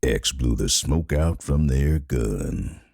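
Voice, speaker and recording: An actor playing a grim, grizzled narrator of a Western tale, gravelly and dramatic in his storytelling. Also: extremely low pitch, expressive, slow, darkish tone, a little hiss or hum, heavily acted.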